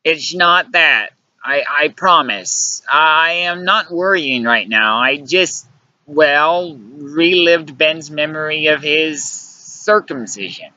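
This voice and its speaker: A narrator voicing a weary, sulking, stubborn lover who is emotionally raw and pleads slowly, in an audiobook story. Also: medium pitch, animated, bright, forceful tone, no room sound, lo-fi sound. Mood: choked up.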